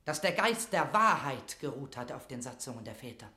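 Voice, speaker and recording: A man's voice: medium pitch, big pitch swings, moderate pace, sharp tone, reverberant. An actor playing a zealous young nobleman devoted to rescuing his queen, speaking impassioned, declamatory verse in a classical radio play. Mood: reverent, moved. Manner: declamatory, impassioned, elevated stage diction.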